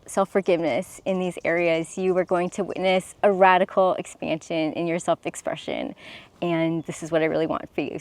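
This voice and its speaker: Woman, young adult, slightly low pitch; sincere, encouraging to-camera coaching talk. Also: slight background noise. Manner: earnest and encouraging, with restarts. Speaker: self-expression coach.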